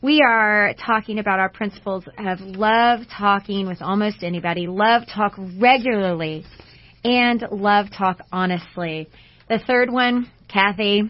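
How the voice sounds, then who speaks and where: A woman with a loud, strong voice, co-hosting an inspirational talk show as a coach in a passionate, conversational way. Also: medium pitch, unhurried. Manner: passionate, big personality. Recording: dry, dull lo-fi audio.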